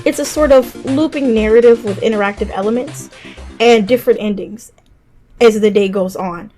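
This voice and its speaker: Higher-voiced woman narrating over music. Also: moderate pace, mellow. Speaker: video game list host. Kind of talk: scripted video narration, top-five review. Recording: music behind the voice. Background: background music.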